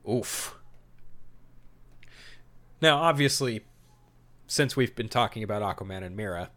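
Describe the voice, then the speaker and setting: A man's voice: fairly low pitch, lively intonation, unhurried, fairly bright tone. A man hosting a podcast, moving between reading out summaries and emphatic, opinionated commentary with some mild swearing. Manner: opinionated, emphatic, mildly profane. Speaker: podcast host. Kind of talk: podcast talk mixing read-out storyboard summary and casual commentary.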